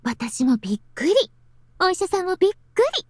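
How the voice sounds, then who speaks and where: Very high, expressive anime girl. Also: rapid-fire, no echo. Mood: cheerful, teasing. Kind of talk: Japanese anime game voice acting. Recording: dry studio voice over soft music.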